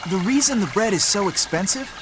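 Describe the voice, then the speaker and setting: A man's voice: high-pitched, animated, fairly quick, very noisy. A man with an American accent playing a sensible, knowing older boy who explains things matter-of-factly in a video game dub. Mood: explaining. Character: sensible older boy, knowing, mildly scolding big-brother type.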